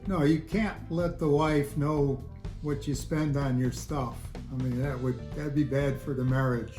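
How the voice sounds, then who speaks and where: Older man, mellow voice, roomy echo. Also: medium pitch, fairly even intonation, unhurried. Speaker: interviewed audiophile hobbyist. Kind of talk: casual interview chat. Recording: roomy echo, faint music.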